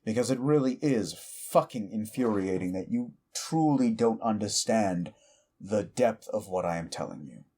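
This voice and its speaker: A man voicing an ancient, petty male demon who mocks a mortal in a slow, deep drawl, in audio roleplay. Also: animated, mellow, husky. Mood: scornful.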